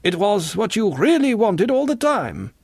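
A man's voice: high voice, huge pitch swings, a little slow, a little mellow, slightly rough, dry recording, clean studio sound, voice pushed up, full character voice. A man voicing a pushy, pompous bearded singer in an audiobook, speaking insistent broken English.

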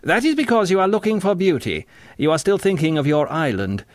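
A man's voice: sits high, big pitch swings, brisk, no echo, studio quality, voice pushed up. A man voicing a doctrinaire, superior woman in spectacles in an audiobook, in a didactic manner. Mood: lecturing, superior. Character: bespectacled woman, doctrinaire, lecturing nurse-keeper.

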